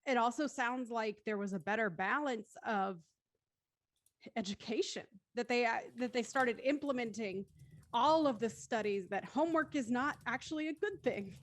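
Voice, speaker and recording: A woman's voice: a little high, normal speed. A woman talking casually and reflectively in a group discussion.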